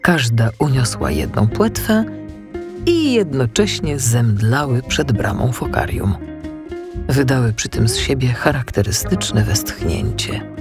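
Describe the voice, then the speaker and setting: A woman narrates a children's audiobook with music playing clearly underneath. Her delivery is scripted storytelling with a very animated, varied pitch. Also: measured pace.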